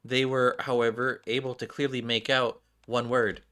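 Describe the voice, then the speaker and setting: Male game streamer, bright forceful voice, reads cutscene dialogue aloud, stumbles and self-corrects. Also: mid-range voice, level tone, moderate pace. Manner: stumbles and corrects himself while reading. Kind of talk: reading game dialogue aloud, acting out characters.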